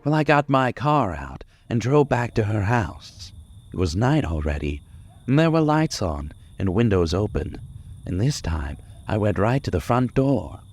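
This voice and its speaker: Guy doing a creepy obsessed killer from an old radio suspense show, raspy, halting, pitch jumps all over. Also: big pitch swings, heavily acted. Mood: obsessed, menacing. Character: brooding, menacing young killer obsessed with frightening women. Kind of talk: old-time radio suspense acting, confessional narration.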